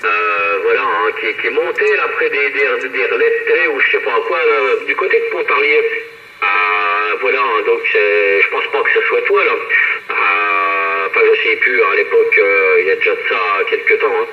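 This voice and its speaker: A muffled, reverberant radio transmission carries a man. He is an amateur operator chatting casually, with a mid-pitched voice. He rambles and repeats himself as he goes. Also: normal speed, faint music behind. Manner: rambling, repetitive. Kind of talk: casual radio chat with signal reports. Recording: dull, roomy two-way radio reception.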